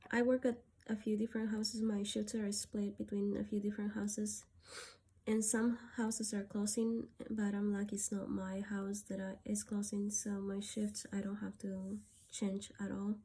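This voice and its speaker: A woman from Peru talking through a personal gratitude list, softly and hesitantly, with many pauses and fillers. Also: medium pitch, level tone, slightly rough. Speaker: vlogger.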